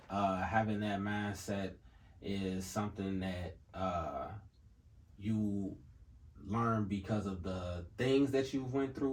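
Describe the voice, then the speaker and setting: A man hosting a podcast in casual conversation, affirming his guest often and asking long, winding questions. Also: low voice. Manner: affirming, lots of "right, right", bursts of loud laughter. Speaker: podcast host. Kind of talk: casual interview chat.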